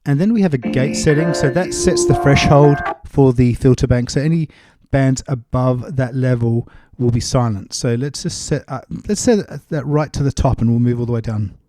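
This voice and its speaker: A man with a mid-pitched voice presents a music production tutorial in a casual way. He explains each step in turn, with music clearly audible around his talk. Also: moderate pace, few pauses, mellow, no echo. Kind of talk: casual software tutorial narration.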